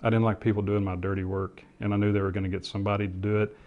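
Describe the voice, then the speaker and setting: A middle-aged man giving interview testimony in a halting, reflective way as he explains what he remembers. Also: fairly low pitch, flat delivery, phone-quality sound. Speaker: interviewee, former air traffic controller and pilot.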